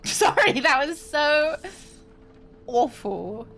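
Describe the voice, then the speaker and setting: A woman streamer chats through a game in a giggly, apologetic way. Her voice is congested and snotty, and her pitch bounces around a lot. Faint game music plays behind her. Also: expressive, drawn-out words, fairly bright tone. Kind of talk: casual gameplay commentary.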